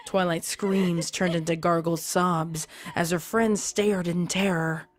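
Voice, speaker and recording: A woman narrates a story in a fairly low voice. Her delivery is scripted and dramatic, with music underneath. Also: steady tone, slow pace.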